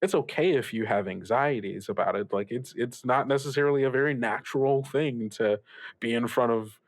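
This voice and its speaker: Radio mentor, male, acted: conversational, rambling, kindly but evasive, average pitch, very little room sound. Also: dry recording, natural voice. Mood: halting reassurance. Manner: halting, rambling, stammering, gently advising. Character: reassuring older male radio mentor, upbeat, evasive about troubles. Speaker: student radio show host. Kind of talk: improvised actual-play audio drama, conversational.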